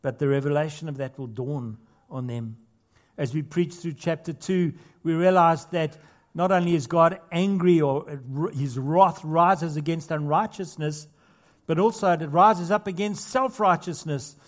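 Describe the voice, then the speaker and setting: Man, pastor, sermon, earnest emphatic delivery, slightly high voice. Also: steady tone, a little slow. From not Australia. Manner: earnest preaching with rising emphasis and casual asides.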